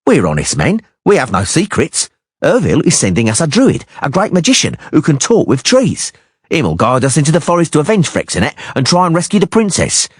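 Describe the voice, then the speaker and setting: Man voicing a crude mocking mercenary, raised pitch, husky, dry close mic. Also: huge pitch swings, fairly quick, dry recording, heavily acted. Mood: sneering. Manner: mocking, foul-smiling. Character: sneering beady-eyed male mercenary, crude and mocking.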